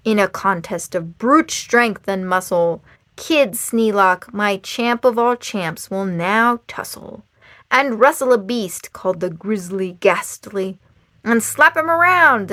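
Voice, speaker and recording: A woman reading a rhyming children's book aloud in a theatrical, expressive and playful storytime manner. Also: a little high, no room sound, clean studio sound. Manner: dramatic, expressive, playful emphasis.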